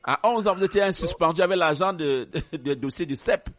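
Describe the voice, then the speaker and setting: A man's voice: a little high, brisk, fairly bright tone, no echo, soft background music. A male radio host running a call-in show in a friendly, joking way.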